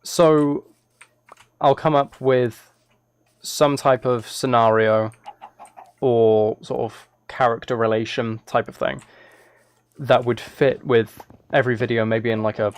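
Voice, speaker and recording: A man chatting casually on a live gaming stream, thinking aloud in a hesitant, rambling way. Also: medium pitch.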